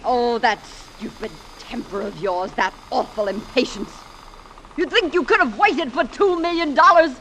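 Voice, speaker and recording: Scheming femme fatale, noisy old radio. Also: female voice, sits high, measured pace. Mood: exasperated. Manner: sharp, scornful, theatrical. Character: cold, scheming young femme fatale after hidden money. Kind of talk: vintage radio drama acting.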